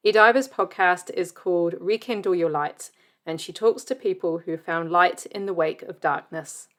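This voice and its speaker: A woman's voice: lowish voice, moderate pace, fairly bright tone. A woman hosting a podcast who reads a scripted intro and then welcomes and questions her guest in a friendly way. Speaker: podcast host.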